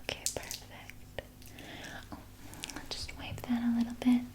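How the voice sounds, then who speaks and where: A woman playing a sinister, soothing vampire nurse in an ASMR roleplay, speaking in a soft, breathy whisper with coaxing, falsely reassuring words. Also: fairly high pitch, monotone, natural voice. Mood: hungry, restrained. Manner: slow, coaxing, falsely reassuring, close personal address. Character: sinister, soothing female vampire nurse tending her captive patient.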